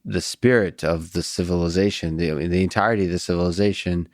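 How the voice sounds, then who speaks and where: A man with a low voice whose first language is Russian, questioning a guest in a hesitant, halting way with many restarts. The sound is close and dry, with no trace of room echo. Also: steady tone, darkish tone. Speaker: podcast host. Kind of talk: interview questions.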